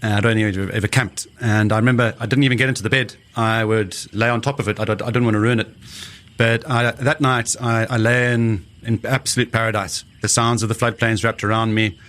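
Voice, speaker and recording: A middle-aged man with a South African accent, telling his own story reflectively, pausing for emphasis. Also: on the low side, steady tone, fairly bright tone. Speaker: conservationist and researcher, interviewee. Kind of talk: personal storytelling in an interview.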